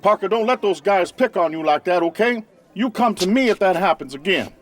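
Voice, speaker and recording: A man's voice: high voice, lively intonation, fairly quick. A man playing a stern, authoritative school principal in a radio drama. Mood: protective. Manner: barking, authoritative.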